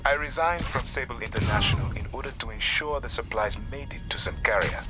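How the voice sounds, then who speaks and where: An actor playing a sober, loyal former mercenary turned aid worker in a video game, serious, formal and explanatory. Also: male voice, mid-range voice, brisk, close to an everyday voice. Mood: sincere, firm. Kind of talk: expository video game dialogue. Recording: loud music and machinery behind voice.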